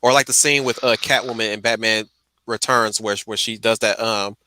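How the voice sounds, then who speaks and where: A man's voice: lowish voice, steady tone, normal speed, pushed and bright. A man chatting casually on a stream in an emphatic, slangy way, often repeating his phrases. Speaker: stream host. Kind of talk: casual chat about films.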